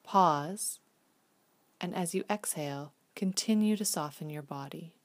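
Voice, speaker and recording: A woman gently leading a guided visualization meditation in a soothing, hushed, unhurried manner. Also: fairly low pitch.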